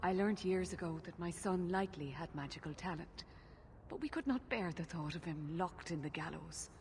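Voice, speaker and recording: A woman's voice: fairly low pitch, a little hiss or hum, natural voice. Woman voicing an anxious elven mother. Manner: earnest, pleading, measured. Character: anxious elven mother pleading for her son.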